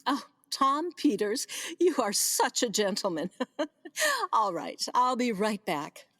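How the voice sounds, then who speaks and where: Woman doing a flustered trusting lady boss in a radio play, kinda high, dry close sound. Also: sing-song, slow pace, fairly bright tone, breathy edge, no echo, full character voice. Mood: flirty. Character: practical, trusting, flustered woman vineyard owner. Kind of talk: community theatre radio play acting.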